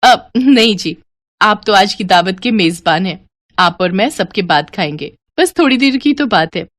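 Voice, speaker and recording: A woman's voice: mid-range voice, lively intonation, normal speed, a bit bright, natural voice. Woman playing a sweetly insistent young bride. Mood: insistent, cheerful. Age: young adult. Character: sweet, coaxing young bride, quietly scheming daughter-in-law. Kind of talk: animated moral story voice acting.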